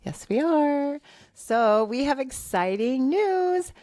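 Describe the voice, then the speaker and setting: A woman's voice: sits high, sing-song, slow, mellow, no echo. A woman co-hosting a paranormal podcast, chatting casually and upbeat, laughing often. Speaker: podcast co-host.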